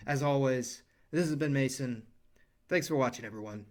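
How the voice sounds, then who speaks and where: A man's voice: medium pitch, moderate pace, a little mellow. A young adult man narrating his own educational video, with earnest explanations and personal asides. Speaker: channel creator and narrator. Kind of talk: scripted educational voice-over.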